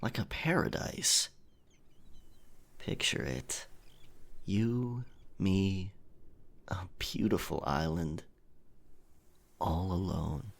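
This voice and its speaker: Man playing a deep-voiced roguish pirate captain in love. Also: very slow, vocal fry, pauses often, heavily acted. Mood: tender, flirtatious. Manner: teasing, intimate pirate talk. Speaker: audio roleplay performer. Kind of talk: ASMR romantic audio roleplay acting.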